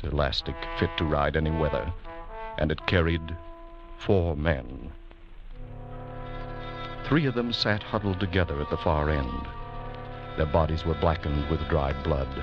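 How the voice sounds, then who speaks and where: Dramatic music plays behind a dull vintage radio recording of a male narrator. His voice is very low, and he delivers the scripted story gravely and deliberately, with a portentous weight. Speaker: narrator and announcer.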